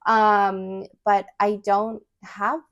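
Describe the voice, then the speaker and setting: A woman guest chatting casually on a podcast, animated, with frequent fillers and laughter. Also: mid-range voice, very slow, highs cut off. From Chilean heritage. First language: English. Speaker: podcast guest, nonprofit founder. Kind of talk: casual interview chat.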